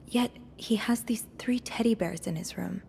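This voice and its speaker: An actress playing a curious, evasive young hotel maid in a mystery game, speaking in an earnest, hesitant way. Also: female voice, mid-range voice, normal speed, creaky, a little mellow, a little hiss or hum, natural voice. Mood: earnest, insistent. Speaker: video game character. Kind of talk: narrative mystery game voice acting.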